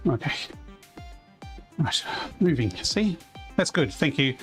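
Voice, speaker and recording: A man with a loud voice chatting casually on a live game stream, rambling as he plays and reading chat aloud. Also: fairly high pitch, expressive, takes it slowly, soft background music.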